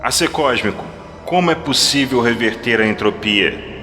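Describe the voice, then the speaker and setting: A man playing the solemn, questioning collective mind of future humanity, speaking deliberately. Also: medium pitch, animated, bright, forceful tone. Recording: long reverberant hall effect, music behind.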